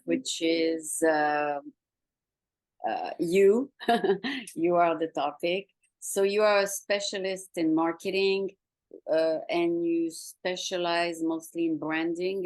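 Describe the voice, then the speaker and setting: Low-voiced chatty woman hosting an interview. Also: darkish tone, dry recording. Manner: chatty, rambling, broken grammar. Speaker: interview show host. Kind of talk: casual interview.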